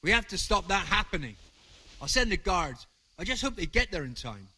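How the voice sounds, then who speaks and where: A grave, decisive authority figure in a medieval video game. His voice is husky and bright, swinging very widely in pitch as he questions. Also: sing-song, moderate pace, heavily acted. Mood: grave, alarmed. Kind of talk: video game drama acting.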